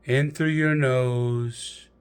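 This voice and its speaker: A man narrating a guided meditation in a deliberate, measured storytelling manner with pauses. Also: mid-range voice, fairly even intonation, clean tone.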